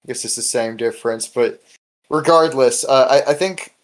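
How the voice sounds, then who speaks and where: A man's voice: mid-range voice, normal speed. A man hosting a casual podcast, talking in a loose, rambling way with chuckles. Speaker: podcast host.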